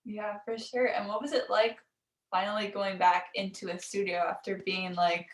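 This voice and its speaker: A woman's voice: medium pitch, little pitch movement, moderate pace, low-quality recording. Gushing enthusiastic woman interviewer. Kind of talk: casual interview chat.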